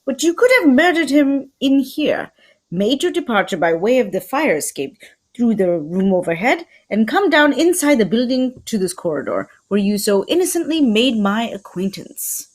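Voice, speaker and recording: A fussy Belgian detective in an old-time radio mystery played by a woman, shrewd and courtly. The voice sits high and moves expressively up and down. Questions and deductions come out formal, deliberate and theatrical. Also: big pitch swings. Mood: shrewd, assured.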